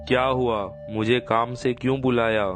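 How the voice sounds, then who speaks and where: A man's voice: medium pitch, flat delivery, unhurried, over music, natural voice. A man playing a poor village farmer, a weary, grumbling husband, in a cartoon story. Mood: concerned.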